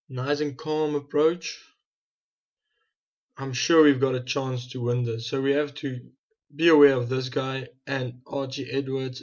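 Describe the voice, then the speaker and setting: A man's voice: medium pitch, a little mellow, highs cut off. A young man with a South African accent, talking casually through his football management game as a gaming channel host and often correcting himself as he goes. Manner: thinking aloud, restarts and self-corrections. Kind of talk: casual gameplay commentary.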